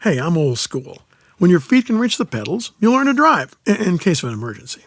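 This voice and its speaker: A man's voice: high voice, big pitch swings, fairly quick, dry recording, studio quality, exaggerated voice. An actor playing a sardonic, exasperated older man in an audio comedy drama, grumbling with an American accent. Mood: dry, explaining. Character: gruff, exasperated old-school older man teaching a kid.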